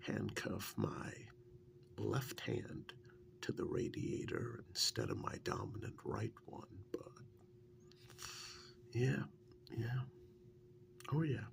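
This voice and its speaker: A man playing an easygoing, wryly fond long-term partner in an ASMR role-play, speaking softly and breathily in a halting, musing way. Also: lowish voice, full character voice. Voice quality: soft, breathy, gravelly, half-whispered. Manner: halting, unhurried, musing with many pauses.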